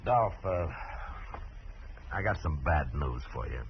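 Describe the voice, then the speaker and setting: Frontier marshal, bass voice, American, calm and fair, radio drama, noisy old recording. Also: male voice, takes it slowly, dull audio, exaggerated voice. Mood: grave, measured. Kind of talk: 1950s radio western acting.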